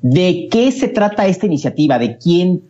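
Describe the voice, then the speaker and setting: A man with a fairly high voice interviews a guest in Spanish. He sounds eager and piles several questions on top of each other. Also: big pitch swings, moderate pace, warm tone, muffled recording.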